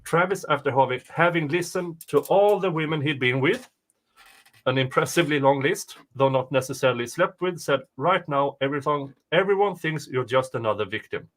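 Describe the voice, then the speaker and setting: A man's voice: on the high side, lively intonation, brisk, a bit bright, low-quality recording. A man co-hosting a talk show, reading written passages aloud and chatting casually about them. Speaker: podcast co-host reading a document aloud.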